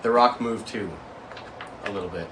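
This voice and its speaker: Man telling a story over a board game. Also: fairly low pitch. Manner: playful, drawn-out dramatic storytelling with bursts of emphasis. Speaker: co-host. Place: small room indoors. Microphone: far camera mic. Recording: distant camera mic, faint room noise.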